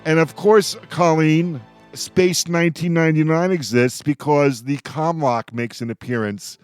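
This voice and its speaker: A man hosting a radio roundtable, chatting as an enthusiastic fan in an effusive, halting way with repeated words. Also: fairly high pitch, steady tone, smooth, soft background music.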